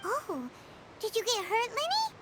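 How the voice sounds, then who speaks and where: A woman voicing a tiny, chirpy, excitable childlike fairy companion in a video game, squeaky and full of exclamations. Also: a little slow, very noisy, exaggerated voice. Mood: surprised, curious. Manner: chirpy, exclamatory, speaks of self in third person. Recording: loud children's music behind. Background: playful game music.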